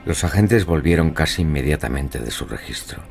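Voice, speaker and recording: A man's voice: rumbling low, lively intonation, normal speed, creaky, exaggerated voice. A man narrating a 19th-century crime story as a scripted audio drama. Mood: grave. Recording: loud music and crowd behind.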